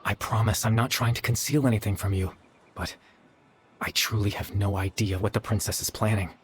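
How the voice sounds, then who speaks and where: An actor playing a gentle, weary young man in a video game, speaking in a soft, measured, wistful way. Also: on the low side, steady tone, slight background noise, lightly acted. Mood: apologetic, resigned. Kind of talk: dramatic video game dialogue.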